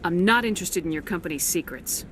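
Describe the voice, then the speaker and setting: An actress playing a resolute, businesslike military commander in a sci-fi video game, brisk and direct. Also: female voice, lowish voice, lively intonation, noisy background, lightly acted.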